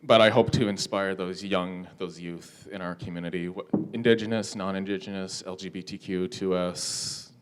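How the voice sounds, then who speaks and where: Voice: low-pitched, steady tone, normal speed, hardly any pauses, background noise. A young adult from Hamilton, Ontario, a panelist giving a friendly, joking introduction. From Hamilton, Ontario, Canada.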